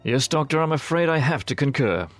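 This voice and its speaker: A man's voice: fairly high pitch, expressive, a little fast, soft background music, close to an everyday voice. A man playing a courteous, wide-eyed Victorian expedition doctor in an audio drama, hesitant in manner. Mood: puzzled.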